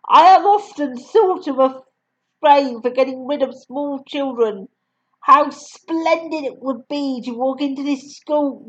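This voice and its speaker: A huge, contemptuous headmistress snarls insults at her pupils, as a woman reader puts her on. Her voice is forceful and scornful, pitched fairly high for a woman. The recording sounds dull and lo-fi. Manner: booming, sneering, spits insults. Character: huge bullying female headmistress, cruel, contemptuous.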